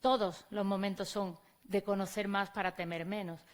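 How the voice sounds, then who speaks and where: A woman's voice: mid-range voice, steady tone, normal speed. A woman from Córdoba with an Andalusian accent, a teacher giving a conference talk and speaking to the audience in a conversational way. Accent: Andalusian Spanish. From Córdoba, Spain. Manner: conversational, addresses audience directly. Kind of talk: conference presentation. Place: conference venue on stage.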